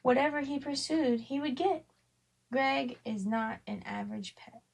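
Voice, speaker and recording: A woman's voice: high-pitched, a little slow, gravelly, husky. A woman co-hosting a podcast in casual conversation, rambling a little as she thinks aloud. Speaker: podcast co-host. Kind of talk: casual podcast chat about family devotions.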